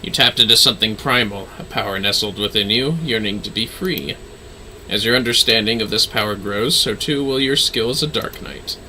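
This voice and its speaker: Man playing a solemn dark knight mentor. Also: lowish voice, slight background noise, low-quality recording. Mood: solemn, approving. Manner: measured, cryptic, formal.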